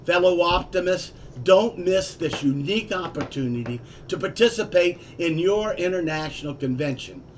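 An older man speaks in a high, lively voice with plenty of swing. He reads a promotional script with energy over a video call from his home office, a faint rumble underneath. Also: normal speed, hardly any pauses. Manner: enthusiastic, lively delivery. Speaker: club vice president.

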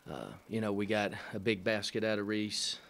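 A middle-aged man, a head coach, answering press questions after a game at a table mic, halting with many fillers and dry, matter-of-fact humor. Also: fairly low pitch, fairly even intonation, gravelly, a bit bright. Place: press room in front of a sponsor backdrop. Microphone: table microphone.

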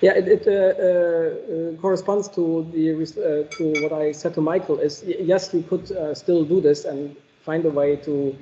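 A man's voice: a little high, steady tone, moderate pace, hardly any pauses, mellow, lo-fi sound, slight background noise. A man answering audience questions after a technical keynote, thoughtful and hesitant, with many fillers.

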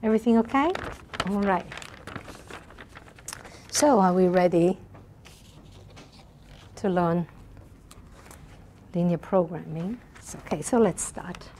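A soft-spoken middle-aged woman lecturing on math in a large hall, often checking in with her class. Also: on the low side, slow pace, long pauses, slight background noise. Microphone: lapel mic.

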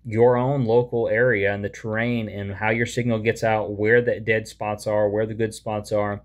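A man in his thirties hosting his own radio video, explaining things in a relaxed, casual way to the camera from a small room, with plenty of ums and uhs. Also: on the low side, normal speed. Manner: easygoing, with frequent fillers. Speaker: radio hobbyist host. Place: small indoor room with a wall map.

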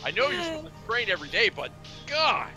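Bright-voiced, animated joking man. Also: sits high, fast. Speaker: voice actor in a group video game dub playthrough. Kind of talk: casual joking game commentary. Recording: music behind the voice.

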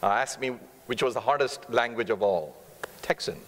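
A middle-aged man from India with an Indian accent, preaching as a guest missionary speaker in a church, fast-talking and earnest with touches of humor. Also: medium pitch, animated, very noisy. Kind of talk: sermon. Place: church stage, large sanctuary. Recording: long reverb of a church hall.

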